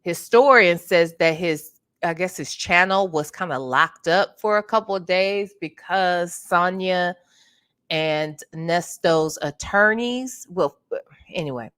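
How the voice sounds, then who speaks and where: A woman's voice: lowish voice, fairly bright tone. Chatty, congested woman livestream host. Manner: chatty, greets viewers by name. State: congested, clearing her throat. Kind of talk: casual livestream chat.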